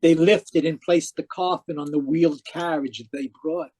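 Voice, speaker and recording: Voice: mid-range voice, fairly even intonation, normal speed, darkish tone, slightly rough, no echo, natural voice. An actor in a radio mystery playing a distraught, newly dead spiritualist, dramatic and earnest. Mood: haunted narration. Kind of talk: old-time radio mystery acting and narration.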